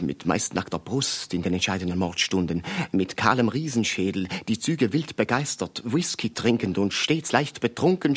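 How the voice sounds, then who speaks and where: An actor in a German radio play, playing an impoverished, pedantic amateur sleuth who speaks formally and deferentially in long, elaborate sentences. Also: male voice, lowish voice, slightly rough, exaggerated voice.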